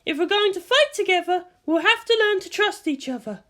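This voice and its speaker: An exasperated woman guardian from an anime-style story, acted by a woman reader. She speaks high and emphatically, insistent and bossy, yet friendly underneath. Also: animated, fairly quick, fairly bright tone. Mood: firm, placating.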